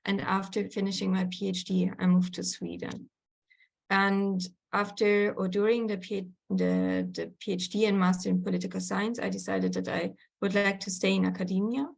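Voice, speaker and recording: Creaky-voiced woman lecturing online. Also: mid-range voice, moderate pace. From Germany. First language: German. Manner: hesitant, many fillers and restarts. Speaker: guest lecturer. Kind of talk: online workshop presentation. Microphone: video call.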